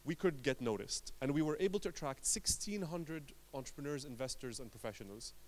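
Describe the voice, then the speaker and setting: A man's voice: mid-range voice, lively intonation, a little fast, a little hiss or hum, poor audio. Man telling a story on stage. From Lebanon. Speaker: conference speaker, entrepreneur. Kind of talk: conference talk, personal storytelling.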